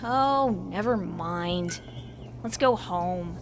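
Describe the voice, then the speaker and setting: A woman's voice: on the low side, very slow, background noise. A woman voicing a chatty, easily exasperated grown pony in a cartoon-style audio drama.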